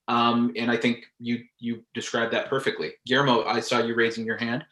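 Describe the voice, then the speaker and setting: A man's voice: mid-range voice, level tone, brisk, bright, forceful tone, roomy sound, rough recording. A man moderating a panel discussion over a video call, speaking in an articulate and inviting way and passing questions to the guests.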